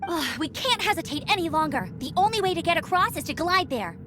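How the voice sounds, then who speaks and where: A woman's voice: extremely high pitch, lively intonation, fast, background noise. A woman voicing a plucky, bold teenage girl knight scout in a video game, spirited and emphatic. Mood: brave, resolved.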